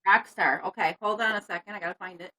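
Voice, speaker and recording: A woman with a high-pitched voice, just woken up, chatting and laughing on a karaoke livestream, often away from the mic. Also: fairly even intonation, quick pace, pushed and bright, rough recording. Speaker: karaoke co-host. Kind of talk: casual chat on a livestream.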